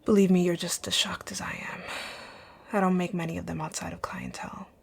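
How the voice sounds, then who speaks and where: A woman playing a rich, dominant company owner in an ASMR roleplay, speaking in a composed, commanding, breathy near-whisper. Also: on the low side, huge pitch swings, brisk, heavily acted. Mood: cool, guarded. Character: rich, bossy, dominant female company owner, curt yet flirtatious. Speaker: audio roleplay voice actor. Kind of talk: ASMR roleplay, scripted one-sided dialogue.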